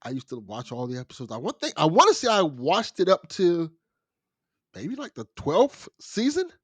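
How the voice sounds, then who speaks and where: Higher-voiced man, casual podcast guest. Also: huge pitch swings, normal speed, slightly rough, dry recording. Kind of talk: casual chat.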